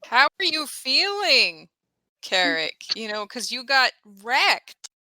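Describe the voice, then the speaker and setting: A woman's voice: high voice, big pitch swings, slow, pushed and bright, dry recording, low-quality recording. A woman playing a tabletop role-playing game, bantering casually in and out of character.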